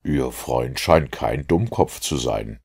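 A man voicing a calm, commanding, analytical male master detective in an audiobook, measured and deliberate. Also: very deep voice, breathy edge, pitched below the performer's own voice, heavily acted. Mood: composed, deliberate. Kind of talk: audiobook character dialogue.

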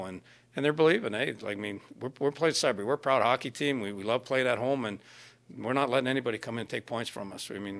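A man's voice: medium pitch, fairly bright tone. An older man, a plain-spoken, folksy hockey coach giving post-game comments. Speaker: ice hockey head coach. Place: indoor media backdrop at a rink. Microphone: microphone on a stand in front.

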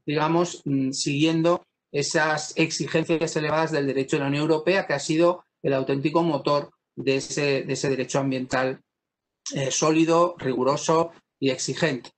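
A man from Spain giving an academic law lecture over a video call, pausing often and restarting his sentences. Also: on the high side, fairly even intonation, slight background noise. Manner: thoughtful, many pauses, fillers and restarts.

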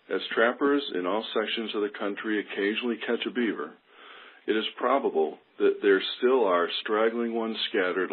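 A man's voice: lowish voice, breathy edge. A man reading a nature book aloud. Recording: tinny phone-like sound.

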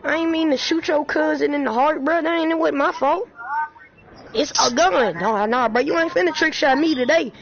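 A woman playing a VR game for her channel, trash-talking the characters in playful slang and getting loud. Her voice sits a bit high. The mic sounds muffled and lo-fi under a strong hiss. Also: normal speed. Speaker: gaming YouTuber.

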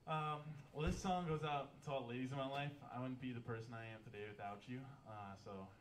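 A young man with a low voice, a country singer chatting quickly and modestly between songs on a small cafe stage. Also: steady tone, distant mic, slight background noise. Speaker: singer-songwriter. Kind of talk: live acoustic country performance with chat. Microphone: stand mic, far camera. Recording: roomy live venue sound with guitar. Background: acoustic guitar.

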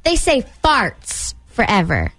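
A woman's voice: fairly high pitch, huge pitch swings, slow, a bit bright, no echo, soft background music, exaggerated voice. A woman playing an awkward, polite order clerk on a prank phone call, speaking hesitantly. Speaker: prank caller posing as shop clerk.

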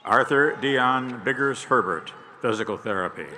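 A man reading graduates' names and fields of study at a commencement ceremony in a large outdoor stadium, announcing each one clearly and evenly. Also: mid-range voice, normal speed, background noise. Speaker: commencement name reader.

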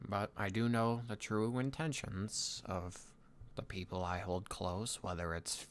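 A man playing a tender, wistful young Viking dragon trainer in a soft-spoken ASMR boyfriend roleplay, speaking intimately and haltingly. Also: fairly low pitch, steady tone. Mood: tender, reflective. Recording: clear background ambient noise.

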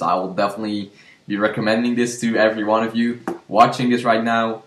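A man's voice: on the low side, a bit bright. A young man chatting casually as host of a movie review video, recorded indoors at a desk. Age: young adult. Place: small room with shelves, indoors. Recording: roomy indoor echo.

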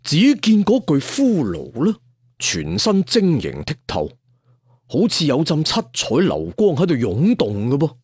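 Animated male storyteller, lively pitch. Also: breathy edge, no room sound, clean studio sound. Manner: chatty storyteller asides, drawn-out particles. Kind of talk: colloquial dramatic scripted narration of a fantasy tale. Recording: music behind the voice. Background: background music.

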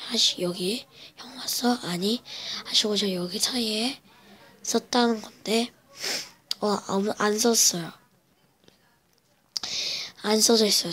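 Woman vlogger, whispering, breathy and bright, slow and halting delivery at home. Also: medium pitch. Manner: slow, hesitant, with many fillers. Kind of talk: whispered casual storytelling to camera. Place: home, indoors.

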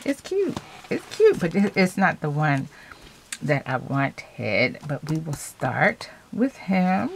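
A stuffed-up woman with a low voice that swings widely in pitch, chatting in a relaxed, soft way as she works at her home craft table. Paper rustles and clicks sit under her voice in a noisy recording. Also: slow, gravelly. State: congested from a cold. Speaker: craft channel host. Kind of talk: casual chat over magazine cutting.

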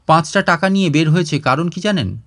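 A man's voice: a little high, expressive, fast talker, fairly bright tone. A man voicing a glum, hard-up retired man who laments his lot in an audio storybook. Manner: glum, complaining.

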